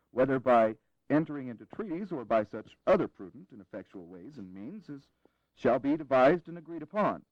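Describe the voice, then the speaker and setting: A man's voice: medium pitch, no room sound, highs cut off, natural voice. An actor playing an earnest, diplomatic delegate in his forties, speaking formally in an audio drama. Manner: formal, measured wording.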